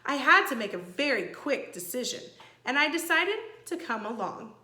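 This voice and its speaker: A woman voicing a calm, gracious old enchantress in a children's storybook, speaking in a measured, precise way. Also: sits high, echoey room, pitched above the performer's own voice, full character voice. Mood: kindly, explaining.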